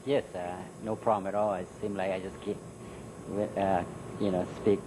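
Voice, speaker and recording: A middle-aged man with a Thai accent, Thai his first language, answering interview questions in halting English with many fillers. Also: low voice, level tone, noisy background. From Thailand. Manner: halting, many "uh" and "you know" fillers, laughs between answers. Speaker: interview guest. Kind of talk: recorded interview.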